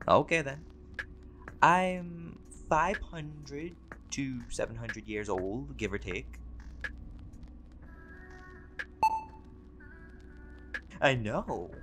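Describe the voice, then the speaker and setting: A man's voice: medium pitch, slow, over music, lightly acted. A man playing a laid-back, vain, centuries-old vampire in a conversational roleplay audio drama, with casual, sweary, bantering delivery. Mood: wry, boastful.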